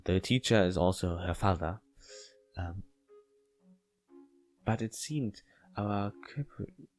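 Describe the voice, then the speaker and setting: Slow, halting man narrating a game. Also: fairly low pitch, a little mellow, rough recording. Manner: halting, stumbles and repeats words while reading. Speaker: gaming video host playing a visual novel. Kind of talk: casual let's play commentary with read-aloud story text.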